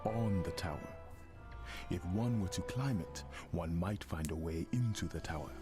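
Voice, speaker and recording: Man playing a very deep, solemn rebel scout. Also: over music, close to an everyday voice. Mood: solemn, scornful. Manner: solemn, measured, formal phrasing. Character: grave, scornful male rebel scout. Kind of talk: fantasy game voice acting.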